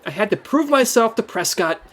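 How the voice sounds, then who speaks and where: A man's voice: sits high, lively intonation, brisk, slight background noise. An actor playing an eager, hapless male secret agent in a comedic audio drama. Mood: earnest, wry. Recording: faint music behind.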